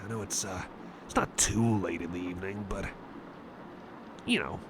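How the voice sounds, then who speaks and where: A man's voice: sits low, fairly even intonation, normal speed, background noise, close to an everyday voice. A man voicing a shy, anxious, affectionate young male cyborg friend, stammering and apologetic in an intimate ASMR roleplay. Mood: relieved, tentative. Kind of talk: ASMR roleplay, intimate one-sided chat.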